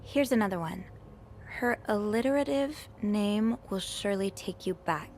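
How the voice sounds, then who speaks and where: Actress as an earnest, curious young maid. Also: female voice, mid-range voice, animated, a little slow, darkish tone, a little hiss or hum, lightly acted. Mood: thoughtful, friendly. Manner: earnest, thoughtful, polite. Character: earnest, curious young hotel maid sleuthing in 1950s mystery game. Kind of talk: conversational video game acting.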